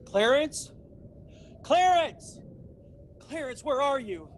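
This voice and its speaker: A man's voice: very high voice, lively intonation, slow pace, a little hiss or hum, full character voice. An actor playing a desperate, agitated family man in a pleading, old-time radio drama style.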